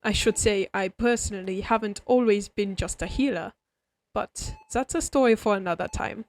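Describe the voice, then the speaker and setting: A woman voicing a refined, friendly vampire doctor in a scripted roleplay, explaining things to the listener in a formal, measured, courteous bedside manner. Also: mid-range voice, darkish tone, natural voice. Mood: courteous, explanatory. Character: refined, oddly friendly vampire doctor, centuries-old aristocratic healer. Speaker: doctor character in a roleplay audio. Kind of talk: scripted comfort roleplay, spoken directly to listener.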